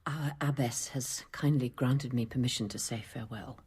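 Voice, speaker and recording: An actress playing a pious, guilt-stricken senior nun in a radio drama, humble and subdued, with a breathy, husky voice. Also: female voice, extremely low pitch, fairly even intonation, moderate pace, a little mellow, full character voice. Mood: broken, humble.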